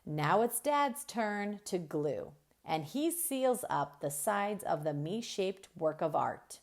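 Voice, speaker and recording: Slow-paced, lower-voiced woman reading aloud. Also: animated, darkish tone. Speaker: storyteller reading a children's book. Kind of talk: expressive read-aloud narration.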